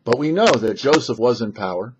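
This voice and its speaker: A man, a rabbi hosting a short religious commentary, telling and explaining scripture with emphatic, halting phrasing and pauses. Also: mid-range voice, lively intonation, noisy background. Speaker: rabbi and host of a weekly Torah talk show. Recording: dull, lo-fi sound.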